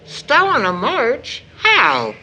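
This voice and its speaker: An older mother in an audio play, her voice bright and swinging widely in pitch. She is kindly and a little fussing, with a homely, affectionate manner. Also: wildly swooping pitch, drawn-out words, a little hiss or hum, heavily acted, low-quality recording. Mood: cheerful, surprised. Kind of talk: stage play audio drama acting.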